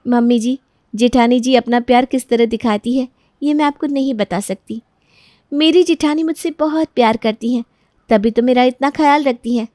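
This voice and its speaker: A woman's voice: a little high, moderate pace, mellow, dry recording, clean studio sound, pitched above the performer's own voice, natural voice. A woman voicing a sly young bride in a family storybook drama, coy and mock-innocent. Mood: coy, teasing. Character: sweetly teasing young bride, sly younger sister-in-law. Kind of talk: melodramatic storybook acting.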